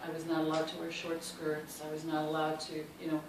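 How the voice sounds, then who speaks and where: Woman w/ chicago accent, lowish voice, storytelling on a panel, lots of ums, echoey hall, mic far away, some noise. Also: completely flat delivery, fairly quick. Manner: warm and anecdotal, many ums and uhs. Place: large hall.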